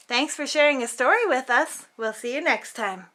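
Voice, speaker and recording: A woman's voice: high voice, animated, moderate pace, bright, forceful tone. A woman reading a children's picture book aloud as host, theatrically, drawing out words for emphasis.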